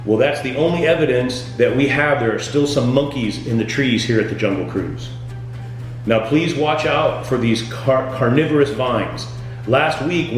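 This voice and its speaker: A man delivering scripted comedic tour-guide patter as a boat skipper, with deadpan, punning jokes. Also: mid-range voice. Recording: very echoey room, music underneath.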